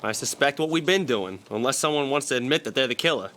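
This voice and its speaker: A man's voice: mid-range voice, expressive, fast, fairly bright tone, close to an everyday voice. A man playing a cocky, sardonic, taunting gang boss in an audio drama. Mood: defiant. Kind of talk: theatrical period murder-mystery acting.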